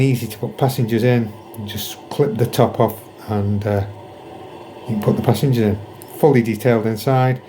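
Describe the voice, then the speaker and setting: A man narrates a hobby video in a soft, mellow tone. His delivery is casual and unscripted, with hesitant repeats as he explains things step by step. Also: medium pitch, slight background noise. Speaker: model railway vlogger.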